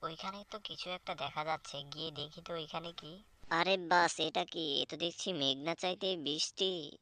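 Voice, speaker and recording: A sly, hungry fox from a cartoon folktale, voiced by a man. He sounds bright and sharp, scheming and self-satisfied. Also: a little high, steady tone, normal speed. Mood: scheming, pleased. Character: sly male fox, hungry hen thief.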